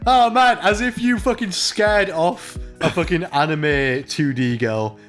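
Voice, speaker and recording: A man's voice: on the high side, unhurried, a bit bright. A man giving casual, joking game commentary and reading dialogue aloud, sweary and mocking. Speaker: host of a comedy let's play. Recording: music behind the voice. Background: music.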